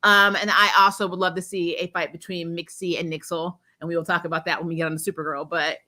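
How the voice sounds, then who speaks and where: A woman's voice: on the low side, little pitch movement, quick pace, pushed and bright. A woman hosting a casual, chatty TV recap livestream in an informal, giggly, rambling way, often correcting herself. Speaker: host of a TV show recap livestream. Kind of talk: casual chatty episode recap with acted-out quotes.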